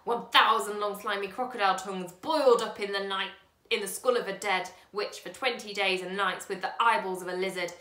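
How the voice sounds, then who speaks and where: A woman voicing a small, sly old man with a slow, creaky voice who coaxes and whispers. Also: fairly low pitch, a bit bright, reverberant. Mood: wild, excited. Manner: coaxing, secretive whispers.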